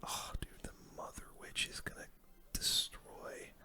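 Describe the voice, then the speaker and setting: ASMR gaming host: soft unvoiced whisper throughout, casual hushed play-by-play commentary. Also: a little slow.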